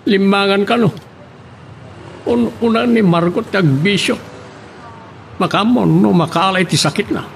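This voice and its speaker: High, gravelly Ilocano male boss. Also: measured pace, pauses often, a little hiss or hum. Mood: stern, instructing. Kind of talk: Ilocano radio drama acting.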